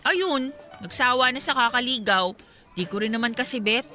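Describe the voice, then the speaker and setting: Woman acting as young Filipina, very bright sharp voice, secretly lovesick, casual teasing talk, radio drama, music underneath. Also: on the high side, moderate pace. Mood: wistful. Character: single young woman secretly pining for her male friend. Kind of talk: Tagalog radio drama dialogue.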